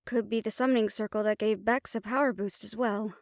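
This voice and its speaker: A woman's voice: medium pitch, steady tone, brisk, no room sound, clean studio sound, natural voice. A woman playing a protective, knowledgeable occult investigator in an audio drama, explaining things in a reassuring but firm manner. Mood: thoughtful, explaining. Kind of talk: audio roleplay acting.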